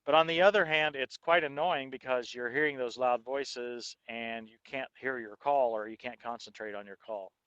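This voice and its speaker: A man giving a casual, explanatory product review as host, in a halting way with check-in questions, recorded through an intercom headset microphone. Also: mid-range voice, fairly bright tone, no room sound, highs cut off.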